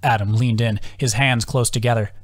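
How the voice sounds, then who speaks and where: A man's voice: medium pitch, steady tone, moderate pace, no room sound. Man narrating a fantasy audiobook, dry sound. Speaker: author reading own audiobook. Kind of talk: scripted fantasy story narration.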